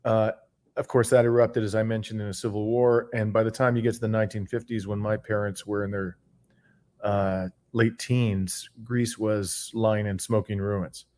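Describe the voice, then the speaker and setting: A Canadian man in his sixties with a fairly low voice speaks as an interview guest. He is articulate and measured, giving long, reflective answers and often pausing in the middle of a sentence. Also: flat delivery, a little mellow. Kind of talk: reflective autobiographical interview talk.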